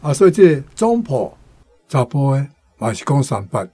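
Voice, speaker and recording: A man's voice: medium pitch, very animated, moderate pace, mellow, husky. A man with a Taiwanese accent, a guest teacher giving explanatory commentary on expressions.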